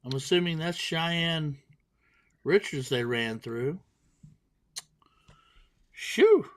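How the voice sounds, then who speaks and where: A man gives live play-by-play at a darts event in a slightly high voice. He jokes constantly and makes fun of himself between throws. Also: lively intonation, very slow. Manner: playful, self-mocking banter. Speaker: darts commentator. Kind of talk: joking live sports commentary.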